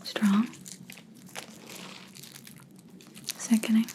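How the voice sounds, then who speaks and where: A woman playing a stern, menacing vampire hunter in an ASMR roleplay, speaking in a breathy whisper with deliberate, taunting pauses. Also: a little high, little pitch movement, dark tone, slight background noise, close to an everyday voice. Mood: disgusted, accusing. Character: stern female vampire hunter interrogating a captive, menacing, contemptuous.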